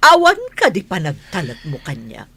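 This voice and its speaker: A woman's voice: low-pitched, huge pitch swings, moderate pace, bright, forceful tone, husky, exaggerated voice. A woman playing a bossy, indignant lady of the house in an Ilocano radio drama, challenging, with an Ilocano accent.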